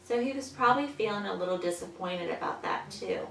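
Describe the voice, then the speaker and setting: A woman's voice: fairly low pitch, huge pitch swings, creaky. Woman teacher in an echoey room. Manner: simple, patient explaining with pauses for answers. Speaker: early childhood teacher reading a storybook to young children. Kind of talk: lesson recap, asking young children questions. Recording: echoey room, distant mic, faint noise.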